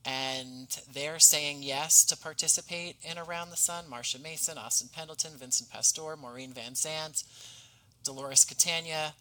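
Bright-voiced, articulate male podcast guest. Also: fairly high pitch, fairly even intonation, poor audio. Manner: articulate, measured, courteous. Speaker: podcast guest, playwright and writer. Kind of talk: conversational interview.

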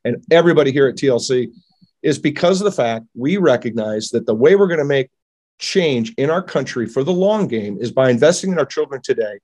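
A man's voice: medium pitch, lively intonation, brisk, a little mellow. Upbeat male show host. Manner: upbeat, effusive, agreeable.

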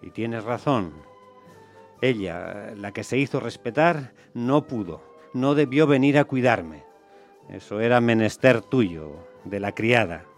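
A man acts an earnest gentleman in radio theatre. The voice sits at an average male pitch, with deliberate, hesitant phrasing and soft music in the background. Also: expressive. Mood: earnest, resolved. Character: gentleman master, earnest, deliberate, honourable convalescent suitor. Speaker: radio drama actor.

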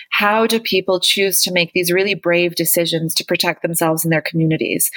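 A woman's voice: lowish voice, fairly bright tone, no echo. A woman in her thirties from Canada, an author and researcher answering interview questions in an earnest, thoughtful way, often restarting her sentences. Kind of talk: conversational interview.

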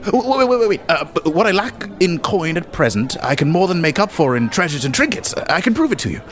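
A man's voice: high voice, big pitch swings, fast, pitched above the performer's own voice. A man voicing a flustered, cowardly but boastful goblin merchant in a tabletop game, stammering and wheedling like a salesman. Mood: anxious bargaining. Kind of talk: tabletop actual play character acting.

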